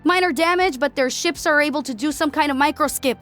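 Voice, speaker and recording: Woman playing a tough female army sergeant, high-pitched. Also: soft background music. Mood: urgent report. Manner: forceful, brisk military reporting.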